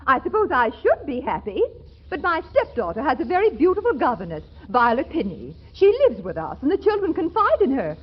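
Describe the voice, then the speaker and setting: An actress playing a fretful, jealous rich wife with a fluttery, affected manner in a 1940s radio comedy. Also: female voice, high voice, very animated, brisk, husky, full character voice. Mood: plaintive. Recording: dull 1940s radio recording.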